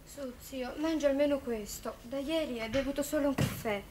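An actress playing a caring young circus girl with a gentle, soothing manner. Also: sits high, normal speed, lightly acted. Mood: gentle concern. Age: young adult. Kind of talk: 1960s Italian television drama acting. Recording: noisy old television soundtrack.

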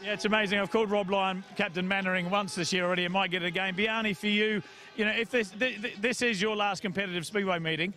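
A male trackside reporter fires off quick, jokey post-race questions. He talks fast with a bright, forceful tone. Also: high-pitched, fairly even intonation.

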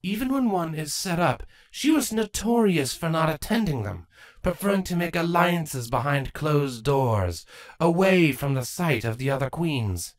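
A woman voicing a regal, protective changeling queen mother in a measured, formal and grave manner for a fantasy audiobook. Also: low-pitched, lively intonation. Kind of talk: acted fan fiction audiobook dialogue.

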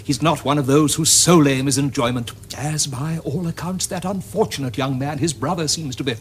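An actor playing a fussy, courtly middle-aged English country rector in a period comedy, pompous and unctuous. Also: male voice, a little high, fairly quick, slight background noise, lightly acted. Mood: flustered gallantry. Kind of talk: period comedy screen acting.

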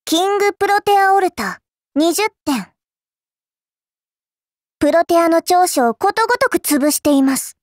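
Small cute spirit girl for an anime game: extremely high pitch, sly and teasing, polite but smug, dry studio sound. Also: no room sound. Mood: scornful, teasing. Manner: polite, coy, teasing, cutesy. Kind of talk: anime game character voice lines.

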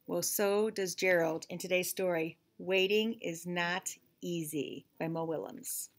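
A woman's voice: lowish voice, fairly even intonation, measured pace. A middle-aged woman hosting a picture-book read-aloud, chatting to young listeners. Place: small indoor room with shelves.

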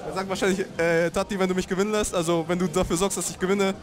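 A man's voice: sits high, little pitch movement, quick pace, over music, crowd noise. A young man, commentating a card game tournament in a laid-back, slangy way.

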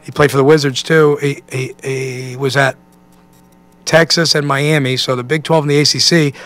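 An American man in his forties, a basketball coach answering press questions in a halting, conversational way, often saying "you know.". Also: medium pitch, level tone, fairly bright tone. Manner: halting, thinking aloud, peppered with 'you know'. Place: indoor press conference room. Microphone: microphone on a stand at a table.

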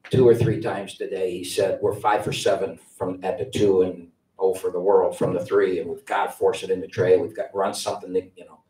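Blunt older coach, low voice, echoey room. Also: male voice, mellow. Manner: blunt, rambling, repeats words as he restarts. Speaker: head basketball coach. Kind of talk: post-game press conference answers. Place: press conference room with sponsor backdrop. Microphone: table mic, heard from a distance. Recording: echoey room, distant mic.

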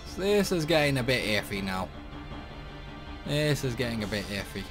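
Man, casual gameplay commentary, animated pitch, music behind. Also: normal speed. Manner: rambling, talks to himself while playing. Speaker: gaming channel host.

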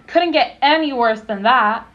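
An actress playing a sarcastic, mocking server on a train diner car in a comedic radio play. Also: female voice, on the high side, unhurried, lo-fi sound, close to an everyday voice.

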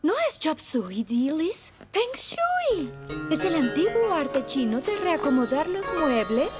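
A woman's voice: high voice, huge pitch swings, takes it slowly, over loud music, exaggerated voice. A woman voicing a chatty, earnest café owner in a cartoon dub.

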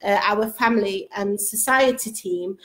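A woman's voice: medium pitch, unhurried. A middle-aged woman hosting a casual live stream from her sofa at home, reading out viewer comments and chatting with her young daughter beside her. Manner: chatty, warm-toned aside to her daughter, many restarts. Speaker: host. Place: living room sofa at home. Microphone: webcam at close range.

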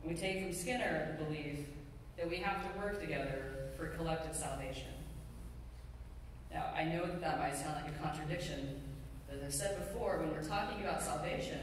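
A middle-aged pastor preaching a sermon in a measured, deliberate way with pauses. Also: medium pitch. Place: church sanctuary pulpit, indoors. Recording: very echoey hall, distant mic, faint noise.

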